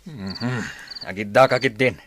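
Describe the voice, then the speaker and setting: An actor playing a gruff, irritable, dismissive husband in an Ilocano radio drama. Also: male voice, medium pitch, a little slow, lightly acted. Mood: irritated, sleepy. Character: grumpy, dismissive husband woken from sleep. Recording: clear noise, night insects.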